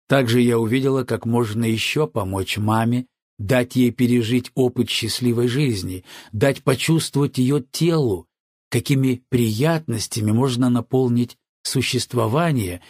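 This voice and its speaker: A man narrating an audiobook from a script in a measured, earnest way. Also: medium pitch, darkish tone, husky, dry recording, clean studio sound.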